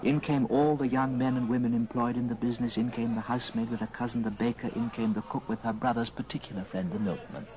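A man's voice: mid-range voice, steady tone, fast talker, hardly any pauses, darkish tone, rough recording. A man narrating a classic story in a dramatic old radio style. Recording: old record with music underneath. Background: music.

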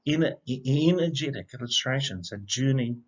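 A middle-aged man reading a rhyming children's picture book aloud in an expressive, measured storytelling manner. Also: medium pitch. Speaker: storybook reader, educator. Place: indoor room. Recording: slightly dull, lo-fi webcam sound.

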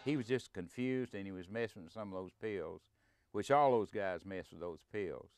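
A man's voice: fairly low pitch, moderate pace, darkish tone, clear, resonant tone, dry recording, clean studio sound, soft background music. An older man, reminiscing casually as an interviewee.

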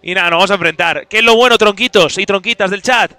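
A man talks casually to his stream viewers through a headset mic, teasing and chatty. His voice sits high and bright, smooth and clean. The sound is close and dry, with no room around it. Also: fast, no echo.

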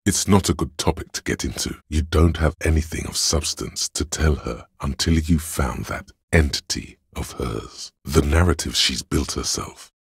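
An actor playing a detective's inner voice of logic in a video game, a grave, analytical man with a gravelly rasp and a deliberate, dry, detached delivery. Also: a bass voice, no echo, full character voice. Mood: cool, deducing.

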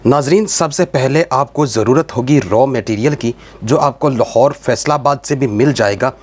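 A man's voice: medium pitch, normal speed, slight background noise. A man with a Pakistani accent presenting a scripted explainer voice-over, instructing viewers directly and repeating his phrasing. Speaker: video presenter.

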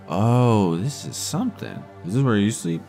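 A man's voice: on the low side, lively intonation, normal speed, creaky. An actor playing a sinister, bloodthirsty man in an audio drama, sly, teasing and ominous, in an echoey recording. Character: sinister, bloodthirsty male stalker, slyly playful. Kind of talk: audio drama dialogue and narration. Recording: echoey long reverb under loud music.

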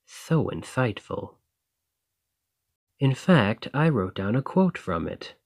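A man playing a gentle, bookish, lovestruck dragon librarian in a romantic ASMR roleplay, speaking softly and earnestly with a slightly shy manner. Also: medium pitch, big pitch swings, takes it slowly, vocal fry, husky, full character voice. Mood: tender, bashful.

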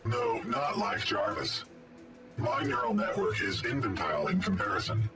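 A man's voice: fairly low pitch, expressive, normal speed, recorded from a distance, loud music behind the voice, natural voice. A man playing a polite artificial intelligence robot in a video game, speaking in formal, precise, stilted phrases. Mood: courteous, measured.

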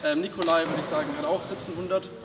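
A young man with a fairly high voice explains drills to players in a big sports hall. He is recorded from some way off, so the voice rings with reverb over loud background noise. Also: steady tone, quick pace. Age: young adult. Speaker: table tennis trainer and channel host. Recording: roomy hall audio from a distance.

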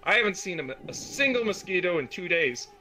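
A man with a fairly high voice reads a comic aloud to friends in an online game, narrating in a playful, deadpan way. There is a steady, clearly audible noise under his microphone. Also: brisk, rough recording. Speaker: comic reader.

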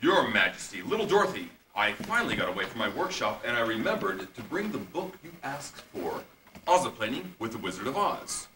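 A man's voice: medium pitch, big pitch swings, a little fast, pushed and bright. Guy doing theatrical old wizard, puppet show, formal, very up and down, far from mic with hiss. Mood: courtly. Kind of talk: amateur puppet show acting. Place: live puppet show at a convention. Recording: echoey, noisy camcorder from far off.

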